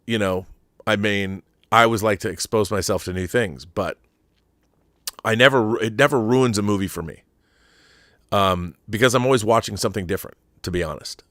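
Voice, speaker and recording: A man's voice: lowish voice, fairly bright tone, no room sound, clean studio sound. A man hosting a live talk show, chatting casually about a viewer's question and repeating words for emphasis.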